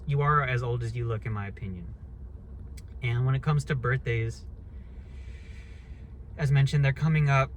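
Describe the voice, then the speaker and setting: Thirties guy ranting casually, blunt, curses a lot, rambles, mid pitch, faint hiss behind him. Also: moderate pace, pauses often. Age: 30s. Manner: blunt, rambling, swears freely. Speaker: vlogger. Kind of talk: casual monologue rant.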